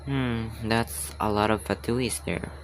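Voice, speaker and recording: A male gamer with a low voice. He comments casually on the game he is playing, in an offhand chatting style. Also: fairly even intonation, takes it slowly, slight background noise, low-quality recording.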